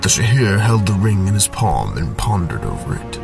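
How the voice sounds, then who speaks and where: A low-pitched male narrator delivers a fantasy tale in a deliberate, theatrical radio-drama style. Cinematic soundtrack music swells very loudly behind him. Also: big pitch swings, gravelly. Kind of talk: dramatic scripted story narration. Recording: very loud music under the voice.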